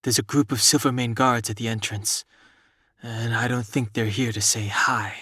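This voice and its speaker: A man voicing a calm, guarded young traveler in a video game, measured, sober and understated. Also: mid-range voice, breathy edge, dry recording, studio quality. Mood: cautious. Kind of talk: restrained video game voice acting.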